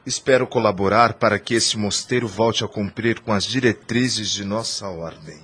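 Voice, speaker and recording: An actor with a Brazilian accent playing an arrogant, wicked friar in a radio drama, speaking in a formal, accusing manner. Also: male voice, on the low side, normal speed, a bit bright, husky. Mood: stern, formal. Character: arrogant, wicked friar newly sent as convent chaplain.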